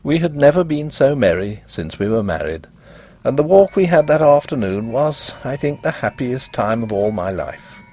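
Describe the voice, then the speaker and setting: A man narrating a gothic literary tale in an expressive, measured and dramatic audiobook style. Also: medium pitch, slightly rough.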